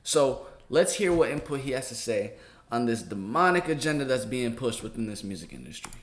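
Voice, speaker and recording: A man's voice: mid-range voice, very animated, brisk, a little hiss or hum. An American man talking casually in street slang, giving reaction commentary as a channel host. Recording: echoey room.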